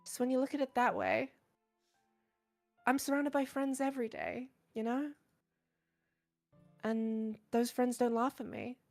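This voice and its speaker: Casting: female actor as insecure, soft-spoken bookworm girl, visual novel game, slightly high pitch, halting earnest delivery. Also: big pitch swings, vocal fry, faint music behind, full character voice. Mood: wistful, sad. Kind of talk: visual novel character voice acting.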